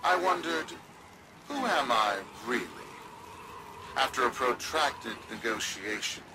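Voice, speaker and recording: Game villain: grandiose corporate zealot, theatrical and self-important, slow delivery with big swings in pitch. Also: male voice, expressive, noisy background. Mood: smug, self-mythologizing. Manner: pompous, theatrical, oratorical pauses. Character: pompous, grandiose corporate zealot, smug would-be heir. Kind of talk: video game villain speech.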